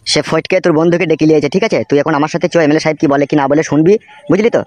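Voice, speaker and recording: A man's voice: on the high side, very fast, a little mellow, no echo, lightly acted. A man voicing a blunt, foul-mouthed, world-weary young henchman in an amateur comedy cartoon. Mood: reassuring, bossy. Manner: blunt, swearing, rural colloquial talk.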